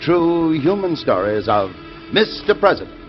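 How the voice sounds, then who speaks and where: A man's voice: a little high, very animated, measured pace. A man announcing a radio show in a grand, dramatic 1940s style. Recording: muffled old radio recording over music.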